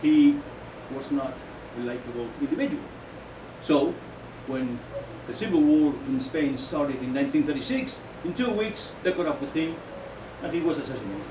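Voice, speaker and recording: A man, a poet at a live reading, speaking in a deliberate, declamatory way with halting phrases. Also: mid-range voice, lively intonation. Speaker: poet reading own poems. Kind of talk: live poetry reading with informal asides. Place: indoor live poetry event, recorded from off. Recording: roomy, distant, dull, noisy live recording.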